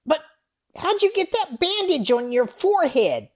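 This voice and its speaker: A woman's voice: sits high, very animated, measured pace. A woman playing an impatient, skeptical friend in a comedy skit, prodding with incredulous questions. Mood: impatient, curious.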